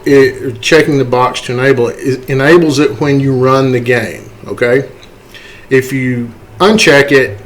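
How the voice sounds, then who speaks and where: A man with a medium-pitched voice hosts a screen-recorded tutorial. He talks conversationally, explaining things step by step like he is showing another hobbyist how it works, often adding a quick check-in at the end of a point. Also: slight background noise. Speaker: tutorial host, game modder.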